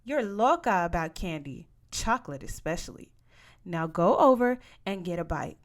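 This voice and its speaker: A woman's voice: fairly low pitch, animated, normal speed. A woman voicing a firm, teasing, no-nonsense Mexican American mother, coaxing and commanding, in a storybook reading.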